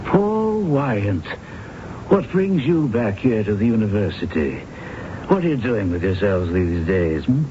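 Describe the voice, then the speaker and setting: An actor playing a concerned, probing university professor in a radio drama. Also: male voice, on the low side, very animated, dark tone. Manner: deliberate, questioning, avuncular. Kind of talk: 1970s radio drama acting. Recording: muffled, noisy old radio broadcast.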